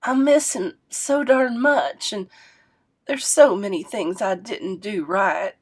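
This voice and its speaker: A woman voicing a grieving, unconventional old grandmother, talking slowly and heavily in a story read aloud. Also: medium pitch, breathy edge. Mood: wistful.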